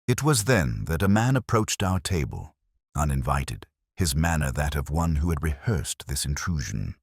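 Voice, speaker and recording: Man, very deep bass voice, animated intonation, literary mystery narration, measured, brooding. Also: vocal fry, husky, no echo, clean studio sound. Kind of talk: scripted first-person detective story narration.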